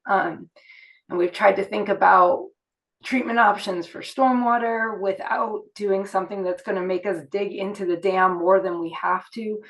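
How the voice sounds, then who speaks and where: A woman with a cold and a worn, hoarse voice, giving steady, measured remarks at a public meeting. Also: on the low side, poor audio. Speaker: project representative at a public meeting.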